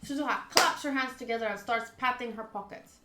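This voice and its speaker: A woman's voice: on the high side, fairly quick. A woman streaming a game, reading the story aloud and adding casual commentary. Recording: roomy echo, faint game music behind.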